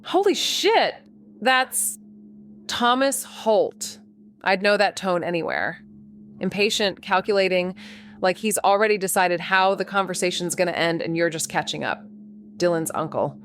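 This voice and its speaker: An actress playing a reckless amateur sleuth who narrates her own noir story in a wry, deadpan, atmospheric way. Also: lowish voice, unhurried, a little hiss or hum. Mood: tense, wry. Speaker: actor narrating a podcast drama. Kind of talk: first-person noir narration and dialogue.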